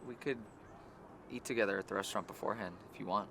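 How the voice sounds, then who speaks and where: A man acting as a dry, teasing, heartbroken guy in a naturalistic comedy, talking in halting conversational phrases. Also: on the low side, creaky. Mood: wry, friendly. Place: outdoors in a park. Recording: noisy outdoor ambience, traffic hum.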